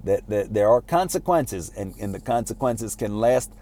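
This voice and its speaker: Mellow-voiced man, halting informal talk. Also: lowish voice, expressive. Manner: halting, repeats words and rephrases often. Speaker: speaker giving a self-improvement talk. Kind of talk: informal motivational lecture.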